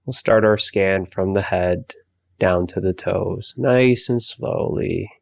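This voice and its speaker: A man leading a guided meditation for focus, giving soft, calm body-scan instructions with long pauses. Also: low voice, creaky, no room sound.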